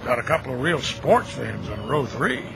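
A man's voice: mid-range voice, sing-song, normal speed, creaky, over music. An actor playing a folksy, kindly American graveyard caretaker in his fifties, plain-spoken and neighborly, in an audio drama. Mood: wry, friendly. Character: folksy, kindly middle-aged graveyard caretaker who chats with the dead. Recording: noisy outdoor ambience with birdsong.

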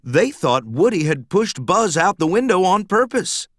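Guy reading a kids bedtime story, slow and really animated, kinda high voice. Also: no echo, studio quality. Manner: dramatic, emphatic storytelling for young children. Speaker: storybook narrator. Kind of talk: scripted children's storybook read-aloud, expressive.

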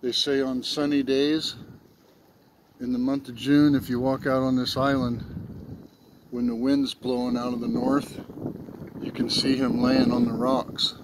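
A man's voice: medium pitch, little pitch movement, unhurried, pauses often. A man chatting casually outdoors in a folksy, joking way, like someone spinning a yarn. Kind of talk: casual chat, tongue-in-cheek yarn-spinning. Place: outdoors on a lakeshore. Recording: very loud wind and outdoor noise.

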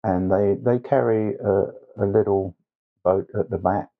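Male painting instructor, lowish pitch, slow hesitant step-by-step delivery, slightly muffled recording. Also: fairly even intonation. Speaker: watercolour tutor. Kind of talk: instructional painting tutorial narration.

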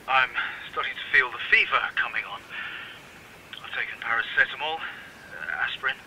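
A man playing a weary, feverish survivor haltingly recording his own account for an audio drama. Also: medium pitch, pushed and bright, a little hiss or hum, full character voice, low-quality recording.

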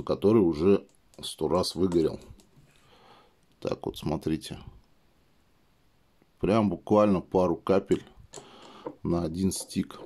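Low-voiced man, slightly hoarse from a cold. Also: a little mellow. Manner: chatty, keeps saying "let's say" as filler. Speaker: product reviewer. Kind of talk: casual how-to demo.

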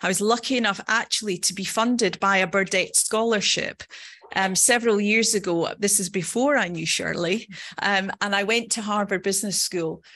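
A woman's voice: medium pitch, normal speed, a bit bright. A middle-aged woman from Scotland with a Scottish accent, giving a personal conference talk in a conversational, earnest manner. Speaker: nursing professor, conference speaker.

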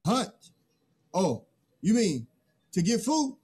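A naive young pupil in a play, acted by a man. His voice is notably high for a male, and he sounds hesitant, bewildered and timid. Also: very animated, slow pace, a little mellow, husky, heavily acted. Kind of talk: theatrical play reading.

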